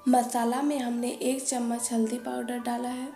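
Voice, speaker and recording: A woman calmly narrating a home cooking recipe step by step, listing each ingredient in a methodical way. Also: on the high side, normal speed, creaky. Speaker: cooking channel host. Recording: echoey room voice-over with faint music.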